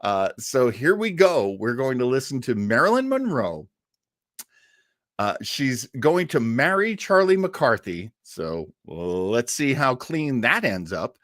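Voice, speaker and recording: Casual male web-show host, jokey and loose, with very animated intonation. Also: mid-range voice, slow pace, no room sound, clean studio sound. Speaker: host of an old-time radio web show. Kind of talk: casual livestream chat reading viewer comments.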